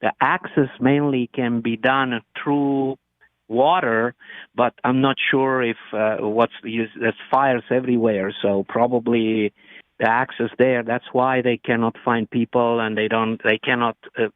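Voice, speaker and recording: A man from Romania, calling into a radio show and speaking haltingly with broken phrasing. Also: mid-range voice, steady tone, no room sound. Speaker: caller. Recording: tinny phone line.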